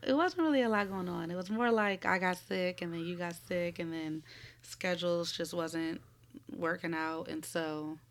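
A woman with a husky, cold-roughened voice talks into a microphone at home. She rambles, giggles and swears easily in a loose podcast chat. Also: fairly low pitch, moderate pace. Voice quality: raspy. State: recovering from a cold. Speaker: podcast co-host. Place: home room. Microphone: studio microphone.

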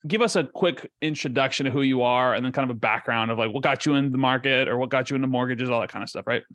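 A man's voice: medium pitch, fast talker, a bit bright. A man from Ecuador hosting a podcast, chatting in a casual, chummy way with informal address. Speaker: podcast host. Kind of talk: casual interview chat.

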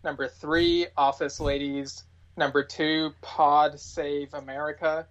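A man with a somewhat high voice chats as a podcast guest. His talk is loose and informal, peppered with filler words. The recording is a little dull and lo-fi. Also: little pitch movement, slow, fairly bright tone. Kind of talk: casual podcast chat. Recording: slightly dull, lo-fi sound.

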